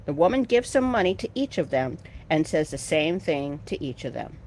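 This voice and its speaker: Female stage-directions reader, slightly low voice, animated intonation, scripted narration. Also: moderate pace, a little hiss or hum. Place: video call.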